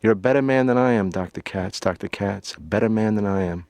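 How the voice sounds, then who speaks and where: A man's voice: fairly low pitch, brisk, a little mellow, no echo, close to an everyday voice. A man voicing a cartoon patient who tells his stand-up jokes in a wry way. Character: comedian patient on the therapy couch.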